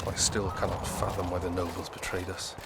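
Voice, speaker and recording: Proud, stern young prince. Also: male voice, sits low, completely flat delivery, very noisy. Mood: grave. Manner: formal, measured, haughty. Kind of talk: dramatic video game acting.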